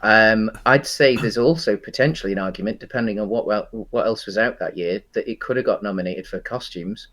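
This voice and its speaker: A man co-hosting a casual film discussion podcast, talking enthusiastically in a hesitant, halting, rambling way. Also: on the low side, fairly bright tone. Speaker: podcast host.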